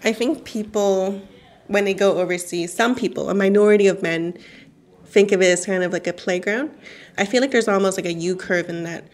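A woman of Chinese origin whose first language is Cantonese, speaking as a journalist guest in a radio interview, animated and laughing often. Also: medium pitch, fairly quick.

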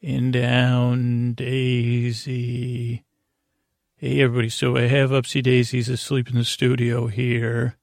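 A man hosting a bedtime podcast with a whimsical, meandering, halting monologue that often trails off, in a gravelly voice. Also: mid-range voice, completely flat delivery, darkish tone, no room sound, clean studio sound. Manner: whimsical, talks to an imaginary guest. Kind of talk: rambling improvised bedtime monologue.

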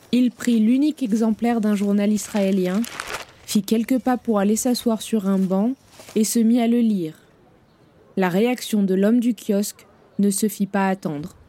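A woman narrating an audio drama in a measured, grave storytelling manner. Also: a little high, fairly even intonation, dark tone.

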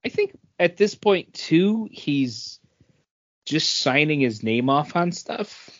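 A man's voice: a little high, very animated, takes it slowly, dry recording. A man in his forties talks casually, as a co-host does on a podcast. He rambles and doubles back over his phrases. The recording is very dry and a bit muffled. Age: 40s. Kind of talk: casual chat, beer review.

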